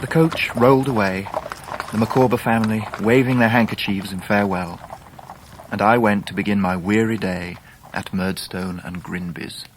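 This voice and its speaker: An actor playing an earnest grown gentleman narrating his boyhood in a radio drama, measured and literary, with an English accent. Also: on the low side, steady tone, slight background noise, lightly acted, poor audio. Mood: resolved. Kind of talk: scripted first-person drama narration.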